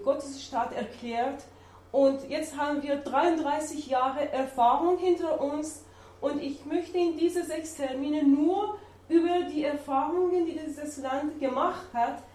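Slow, hesitant woman with Persian accent. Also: sits high, dark tone, echoey room, slight background noise. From Iran. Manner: halting, many fillers, searching for words. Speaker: adult-education lecturer. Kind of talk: public lecture with map slides. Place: lecture room.

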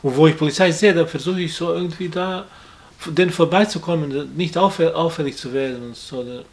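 A man with a somewhat high speaking voice gives a halting, conversational interview answer. He repeats small words and restarts sentences often. He grew up outside this country. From outside Germany. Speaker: interviewee, acquaintance of the victim.

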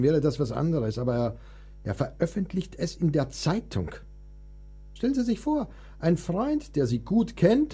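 A touchy, aggrieved composer in a historical radio play. The voice is very rough and breathy, yet soft-edged, leaping about in pitch between indignant flares and sulky murmurs. Faint music sits behind it. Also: male voice. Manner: theatrical, aggrieved, swinging between outbursts and sulky asides. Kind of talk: radio play dialogue, dramatic monologue.

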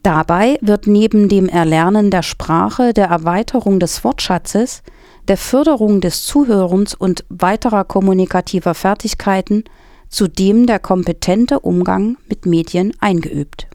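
A woman's voice: medium pitch, measured pace, a little mellow, no room sound, studio quality. A woman co-presenting a news programme, reading scripted text and quotations. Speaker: quote reader and co-presenter.

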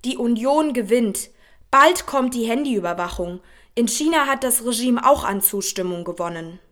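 A woman's voice: on the high side, lively intonation, normal speed, fairly bright tone. A woman playing a matter-of-fact social media commenter in a radio drama collage. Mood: resigned, sceptical.

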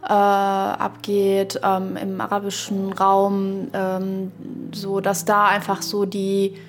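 Halting podcast co-host, fairly even female-sounding voice, woman. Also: mid-range voice, a little hiss or hum. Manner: halting, hesitant, thinking aloud with academic vocabulary. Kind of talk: casual, reflective podcast discussion.

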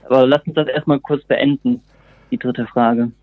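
A man's voice: medium pitch, steady tone, normal speed, narrow, tinny audio. A man performer talking in an informal interview, often correcting himself as he goes, heard over thin call audio. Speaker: musical performer.

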